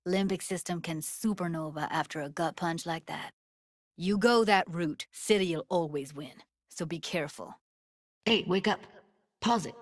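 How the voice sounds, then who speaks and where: A woman's voice: fairly low pitch, unhurried. An actress voicing a streetwise young techie woman in a cyberpunk video game, talking in a casual, slangy, wry way. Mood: wry, candid. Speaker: video game character actor. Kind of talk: naturalistic game voice acting.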